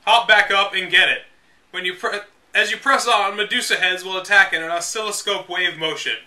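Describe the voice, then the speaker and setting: A man's voice: sits high, fairly even intonation, brisk, bright, forceful tone, recorded from a distance. A man hosting a read-aloud of a game guide, delivering it dramatically and breaking off for casual, sarcastic, exasperated asides. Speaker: host reading a game guide aloud with commentary.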